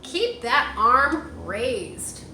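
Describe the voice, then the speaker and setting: A woman's voice: high voice, very animated, drawn-out words. A woman reading a picture book aloud to children, speaking to them directly and encouragingly with casual asides. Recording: roomy echo, faint background noise.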